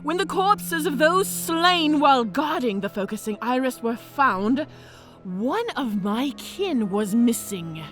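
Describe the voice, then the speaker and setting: Fantasy audio drama, female dragon mage in disguise: cunning, articulate, very animated, high-pitched, faint music beneath. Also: slow, heavily acted. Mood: grave, demanding. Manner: theatrical, composed, formally articulate.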